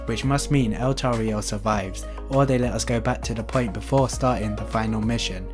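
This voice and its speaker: A man's voice: on the low side, moderate pace. Man narrating gaming news over music. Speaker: gaming video host. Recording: music under the voice. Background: background music.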